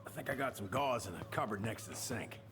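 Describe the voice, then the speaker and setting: An actor playing a gruff, weary middle-aged handyman in a video game, with a folksy, plain-spoken drawl. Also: fairly low pitch, animated, fast talker, big-room sound, over music. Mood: pained, irritated. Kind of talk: naturalistic video game acting.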